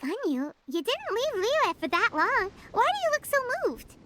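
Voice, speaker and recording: A woman voicing a small, cheeky, chirpy fairy companion with a squeaky childlike voice in an anime-style video game. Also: very animated, normal speed, bright, forceful tone, soft background music, heavily acted. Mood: eager, chirpy. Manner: perky, blunt, exclamatory.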